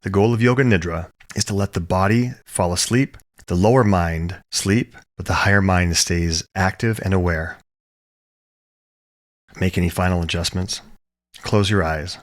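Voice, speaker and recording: A man leading a guided relaxation as a yoga teacher, speaking calmly and soothingly in short, measured phrases with pauses. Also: deep voice. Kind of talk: guided meditation, gentle instruction.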